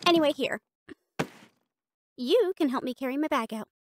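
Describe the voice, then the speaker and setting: A woman's voice: sits high, animated, normal speed, noisy background. A woman voicing a snobby, catty teenage catgirl cheer captain in an audio roleplay, talking in a haughty, teasing and bossy way.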